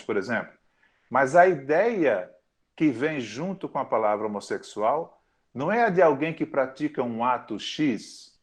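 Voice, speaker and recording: A man giving an academic lecture, thoughtful, pausing often and correcting himself mid-phrase. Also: medium pitch. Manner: thoughtful, with frequent pauses and self-corrections.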